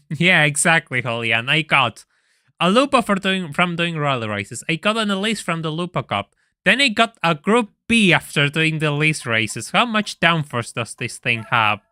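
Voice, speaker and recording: A man's voice: on the high side, big pitch swings, moderate pace, bright, forceful tone, clean tone, no echo. A young man from Argentina, chatting casually while streaming a racing game, laughing often and stammering over repeated words. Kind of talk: casual live-stream chat and gameplay commentary.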